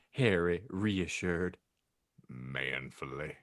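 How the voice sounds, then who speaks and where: A man's voice: low-pitched, very slow, a bit bright, no echo, clean studio sound. A man hosting a comedy podcast, reading fan fiction in a mock-solemn storybook voice and chatting wryly. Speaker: podcast host and narrator.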